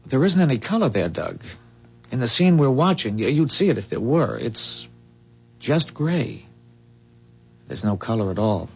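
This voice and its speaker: Earnest, husky, swooping-voiced secretive inventor. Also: male voice, mid-range voice, very animated, a little slow, long pauses, exaggerated voice. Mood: grave, matter-of-fact. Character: earnest secretive inventor, time traveler from bleak future. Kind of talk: 1970s radio drama acting. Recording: muffled 1970s radio broadcast.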